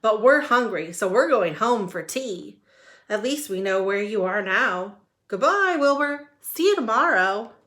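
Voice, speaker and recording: A woman voicing a patient, good-humoured male patchwork elephant who gently leads the others in a storybook. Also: medium pitch, sing-song, unhurried. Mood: calling, unbothered.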